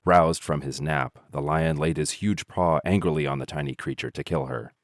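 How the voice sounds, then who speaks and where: A man narrating fables in an expressive, measured storytelling style. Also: a bass voice, no room sound, clean studio sound.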